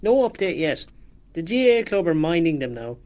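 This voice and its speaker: A woman's voice: fairly low pitch, very animated, normal speed, a little mellow, lo-fi sound. A woman playing a hapless, complaining young Irish lad in a radio drama. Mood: aggrieved. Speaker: actor in an amateur radio drama.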